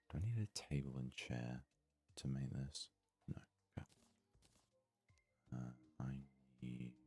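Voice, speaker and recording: A man giving soft-spoken ASMR game commentary in an unhurried, hesitant way, as if thinking aloud. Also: very deep voice, vocal fry, slightly rough. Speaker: gaming video host.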